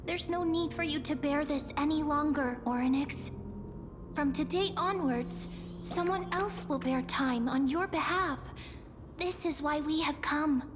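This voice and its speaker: High, gentle young heroine. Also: female voice, noisy background. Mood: gentle, earnest. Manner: tender, earnest, measured. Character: gentle, compassionate young woman, earnest and soothing. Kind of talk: video game voice acting.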